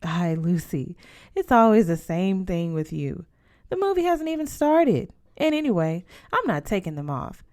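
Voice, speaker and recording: A woman reader plays a devout storybook mother, firm and protective. She chides her children insistently in a slightly low voice. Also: very animated, moderate pace, a little mellow. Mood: chiding.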